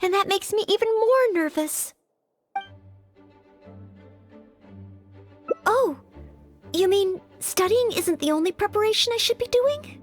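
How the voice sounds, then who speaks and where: A young maid with knightly dreams from an anime video game. Her voice is strikingly high, sweet and girlish. She speaks with earnest politeness and an anxious, hesitant edge, over light music. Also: lively intonation, normal speed. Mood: nervous.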